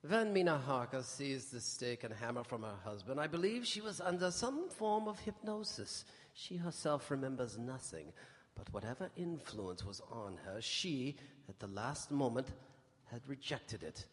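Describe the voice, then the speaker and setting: An actor playing a grave vampire hunter who delivers a deliberate, dramatic report in a radio play. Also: male voice, medium pitch, sing-song, close to an everyday voice. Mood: solemn. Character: grave male vampire hunter giving his final report. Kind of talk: dramatic first-person radio narration. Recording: live hall with long reverb.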